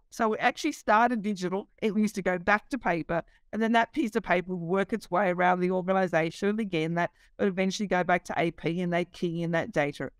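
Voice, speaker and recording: A woman's voice: on the low side, no room sound. A middle-aged woman, a company CEO, explaining her business over a video call, fluent but with many fillers. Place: office.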